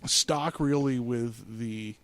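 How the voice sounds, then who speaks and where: A man's voice: medium pitch, takes it slowly, fairly bright tone. A man on a studio podcast panel, arguing skeptically in casual, joking, crude banter.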